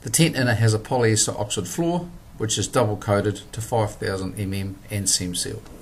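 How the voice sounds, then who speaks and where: A man, presenting a product in a measured, scripted voice-over. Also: on the low side, slight background noise. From New Zealand. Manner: measured, plain instructional delivery.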